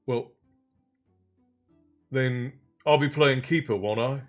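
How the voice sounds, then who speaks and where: A man's voice: medium pitch, takes it slowly, faint music behind, close to an everyday voice. A man voicing a cocky, hulking teenage athlete who is pushy and pleased with himself, in a storybook reading. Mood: smug, pushy. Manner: self-satisfied, presumptuous.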